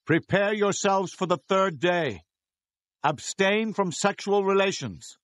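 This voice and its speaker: A man's voice: high voice, animated, slow pace, fairly bright tone, dry recording, full character voice. An actor playing Moses, an earnest male leader of his people, speaking in a declamatory way in dramatized scripture. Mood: earnest, instructing.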